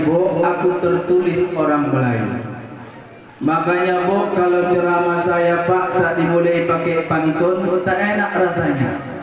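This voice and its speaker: A man preaching to a gathering in a folksy, teasing way, addressing listeners directly. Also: sits high. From Indonesia, Malay family. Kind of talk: sermon to a live gathering, joking and rhyming. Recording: echoey PA sound from far off.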